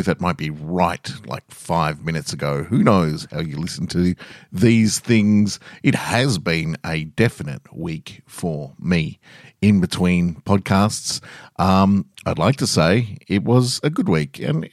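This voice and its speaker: Very deep-voiced Australian man. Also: no room sound, studio quality. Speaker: podcast host reading short stories. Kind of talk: casual podcast intro and story reading.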